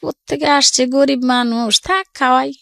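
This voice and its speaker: A woman's voice: high voice, a little fast, creaky, bright, forceful tone, no room sound, clean studio sound. A middle-aged woman with a Bangladeshi accent tells her life story casually and conversationally, often quoting family dialogue.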